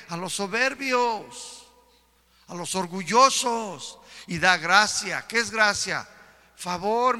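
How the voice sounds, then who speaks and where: A man's voice: sits high, pushed and bright. A man preaching a sermon to a congregation, emphatic and full of rhetorical questions. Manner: emphatic, addresses listeners with repeated rhetorical questions. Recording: reverberant hall.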